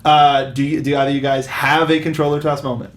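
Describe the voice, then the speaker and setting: A man's voice: mid-range voice, flat delivery, fast talker, slight background noise. A man hosting a film review show in casual panel chat, talking like an earnest enthusiast.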